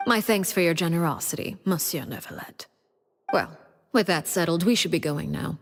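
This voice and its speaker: Arlecchino, a cold, composed leader. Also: female voice, lowish voice, big pitch swings, big-room sound. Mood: polite. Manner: measured, polite, quietly menacing, with soft breathy drops. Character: cold, composed female leader of an orphanage-bred order. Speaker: game character. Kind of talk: studio game voice acting.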